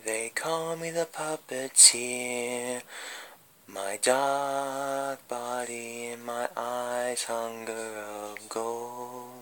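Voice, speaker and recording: A man's voice: medium pitch, steady tone, drawn-out words, pushed and bright, a little hiss or hum, voice pushed up. A man playing a melancholy, tormented dead puppeteer in a creepy horror story reading, with brooding theatrical delivery. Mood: eerie, wistful. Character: creepy undead puppeteer, melancholy tormented young man's ghost. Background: music.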